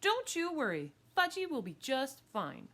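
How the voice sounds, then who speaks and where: A woman's voice: high-pitched, wildly swooping pitch, measured pace, pushed and bright, voice pushed up, exaggerated voice. A woman voicing a bossy, eager, cocksure young girl in a children's audiobook, with a pushy manner. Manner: pushy, pleading, sing-song teasing.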